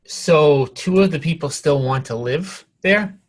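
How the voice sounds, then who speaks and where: A man's voice: fairly high pitch, a little slow. A man leading a casual real estate conference call, asking direct, probing questions as a coach.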